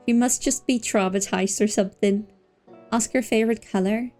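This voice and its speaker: A woman's voice: on the high side, steady tone, normal speed, darkish tone. A woman livestreamer giving casual, cooing, reactive commentary as she plays a game. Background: game music.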